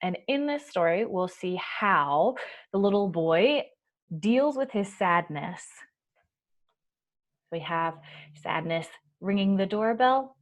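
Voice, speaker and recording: Low-pitched woman reading gently for storytime. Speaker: children's library storytime host. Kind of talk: gentle picture-book reading and explaining to children.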